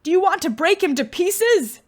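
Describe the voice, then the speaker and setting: A woman's voice: very high-pitched, very animated, moderate pace, pitched above the performer's own voice. A woman voicing a timid, kindly male lion who growls and reassures. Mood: alarmed.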